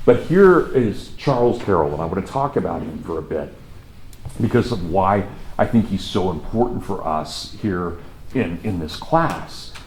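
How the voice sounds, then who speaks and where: A man with a Kansas accent giving a college lecture, telling history as a lively story and repeating words for emphasis. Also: deep voice. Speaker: college professor. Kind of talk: classroom lecture. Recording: echoey classroom from far off, faint noise.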